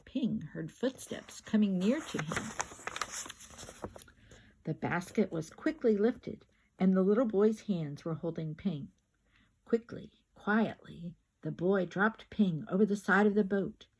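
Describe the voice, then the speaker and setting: An older woman reading a children's picture book aloud like a grandmother, with expressive, drawn-out words and emphatic repetition. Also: medium pitch, husky, rough recording.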